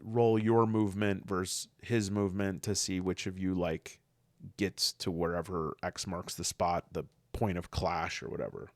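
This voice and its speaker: A man with a fairly low, gravelly voice, recorded close and dry with almost no room sound. He talks through game rules casually and earnestly, stopping and restarting as he thinks, and he sniffles from a cold that's coming on. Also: steady tone, moderate pace, dry recording. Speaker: solo tabletop game player and podcaster. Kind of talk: casual rules review, reading aloud and commenting.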